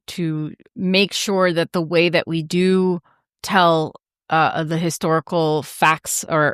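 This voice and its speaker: A woman's voice: deep voice, a bit bright, no echo, studio quality. A woman from Brazil whose first language is Portuguese, hosting an interview in a chatty way, full of "like" and "you know". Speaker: interview host. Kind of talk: casual interview chat.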